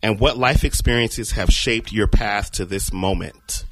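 A man's voice: lowish voice, measured pace, a bit bright, a little hiss or hum, low-quality recording. A man hosting a radio talk show, welcoming his guest and asking questions in an upbeat, enthusiastic way. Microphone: studio mic.

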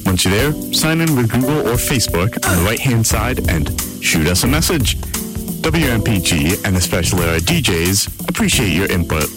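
A man with a lowish, very animated voice narrates a scripted station promo. A music bed plays clearly behind him. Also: normal speed.

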